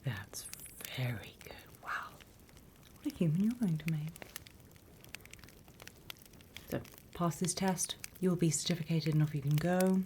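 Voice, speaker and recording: A British woman voicing a prim demon teacher in a soft, deliberate whispered ASMR roleplay. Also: sits low, a little hiss or hum. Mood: encouraging. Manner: soft, deliberate, instructional, with pauses. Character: female demon teacher, softly menacing, encouraging, prim.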